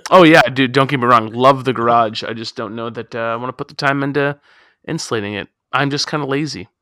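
A man's voice: medium pitch, fairly quick, sharp tone, no room sound, studio quality. A man in his thirties on a casual podcast chat, rambling and self-deprecating, recording in his home garage. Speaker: podcast co-host.

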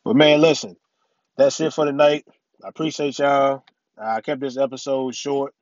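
A middle-aged man hosting his own podcast in a casual, rambling way, full of "you know" and "man", his voice a little raspy from allergies. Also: mid-range voice, steady tone, normal speed, no room sound, highs cut off. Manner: laid-back, rambling, many "you know" and "man" fillers. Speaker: podcast host. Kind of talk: casual solo podcast talk.

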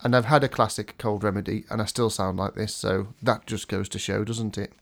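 A man's voice: fairly low pitch, steady tone, a little fast, creaky. A man hosting a casual podcast with a blocked nose from a cold, chatty and self-deprecating. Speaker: podcast host. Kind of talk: casual podcast chat with a scripted intro.

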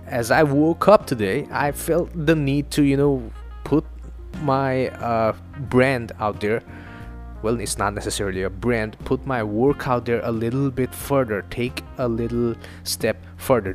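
A young man from Northeast India whose first language is Assamese, hosting his own podcast in a chatty, loose monologue full of swearing and self-mocking asides. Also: medium pitch. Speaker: podcast host. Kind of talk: casual solo podcast monologue. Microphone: home studio mic.

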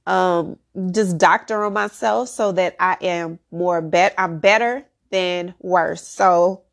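Nasal, congested woman with a gravelly edge. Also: on the low side, fairly even intonation, slow pace. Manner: earnest and encouraging, with filler ums. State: nasal from a head cold. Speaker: podcast host. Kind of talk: spiritual astrology podcast talk. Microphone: solo audio podcast recording.

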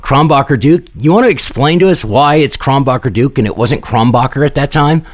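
A man's voice: mid-range voice, fairly quick. Wry, playful male podcast host. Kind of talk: casual joking interview.

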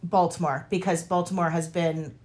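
A woman co-hosting a casual political commentary show. She talks conversationally at a middling pitch and leans on key words for emphasis. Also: fairly even intonation, moderate pace, a little mellow. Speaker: podcast co-host.

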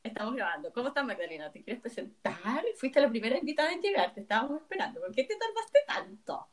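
A woman in her forties hosts a relaxed podcast conversation. She talks in a chatty, easygoing way and laughs often. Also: a little high, fast talker. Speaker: podcast co-host. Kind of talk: casual podcast chat.

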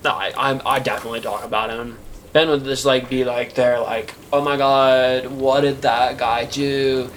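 A man playing a disgruntled, defensive night-shift kitchen worker in a halting, rambling conversational monologue for an audio drama. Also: medium pitch, fairly even intonation, slight background noise, natural voice. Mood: griping, mocking. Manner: halting, rambling, self-interrupting, filler-heavy.

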